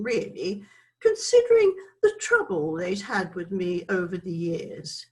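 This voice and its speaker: A scatty, frivolous older British woman tells her life story as a stage monologue. Her voice sits fairly high and swings dramatically up and down, unhurried as she wanders off the point and excuses herself. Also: exaggerated voice. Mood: amused, rueful. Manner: rambling, frivolous, digressive, self-excusing. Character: chatty, scatty older Englishwoman named Isabella, reminiscing.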